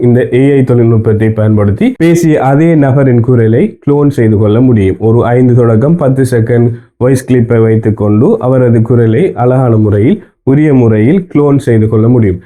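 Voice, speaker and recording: Very dark-voiced man, Sri Lankan Tamil accent. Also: medium pitch, normal speed. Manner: formal, methodical explaining. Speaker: presenter of an explainer report. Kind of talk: scripted news explainer narration.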